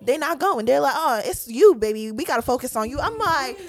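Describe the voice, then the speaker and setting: A woman in her twenties co-hosting a podcast, telling candid stories in an animated way. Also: high-pitched, a little fast, music in the background. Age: 20s. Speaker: podcast co-host. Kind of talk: casual chat.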